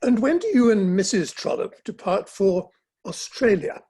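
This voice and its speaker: A man in a play reading, playing an affable Victorian gentleman painter with a husky voice. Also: high-pitched, sing-song, moderate pace, full character voice. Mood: affable, curious.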